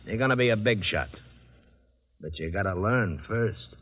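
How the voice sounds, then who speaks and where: An actor playing an American crime boss, patronizing and persuasive, in an old radio crime drama. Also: male voice, on the low side, animated, normal speed, a bit bright. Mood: patronizing, coaxing. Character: smooth-talking adult male crime boss, patronizing.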